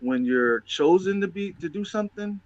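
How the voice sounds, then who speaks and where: Man, higher-pitched voice, bright and punchy, emphatic motivational chat, repeats himself for stress, dull lo-fi sound. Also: lively intonation, moderate pace, dry recording. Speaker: show host and interviewer. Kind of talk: casual motivational talk.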